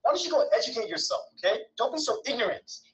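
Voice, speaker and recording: Young guy in a comedy sketch, voice jumping all over, really animated. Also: extremely high pitch, quick pace, rough recording. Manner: animated, exaggerated delivery. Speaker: sketch actor. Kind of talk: comedy sketch acting, then direct address.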